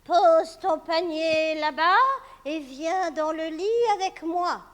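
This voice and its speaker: A woman voicing the big bad male wolf who poses as the grandmother, speaking slowly and loudly, with long hall reverb. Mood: coaxing. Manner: slow, loud, booming.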